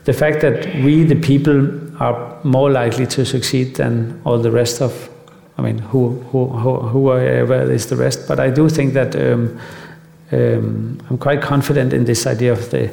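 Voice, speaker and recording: A man from Denmark, a guest on stage, telling a rambling, animated anecdote with many restarts. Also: mid-range voice, normal speed, creaky. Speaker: guest artist. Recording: echoey hall.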